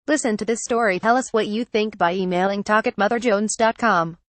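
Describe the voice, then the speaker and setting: Woman narrator, mid-pitched, steady reading of a news feature, dead-dry booth sound. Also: fairly quick, dry recording. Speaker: narrator reading a magazine article.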